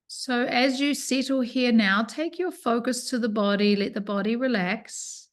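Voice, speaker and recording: Woman guiding a slow, soothing relaxation. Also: a little high. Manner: soothing, unhurried instruction with pauses. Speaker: yoga nidra teacher. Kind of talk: guided relaxation meditation.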